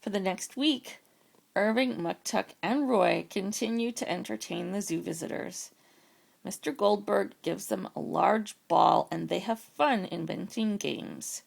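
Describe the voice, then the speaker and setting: A woman's voice: medium pitch, unhurried, creaky. A woman reading a children's storybook aloud as a parent does at bedtime.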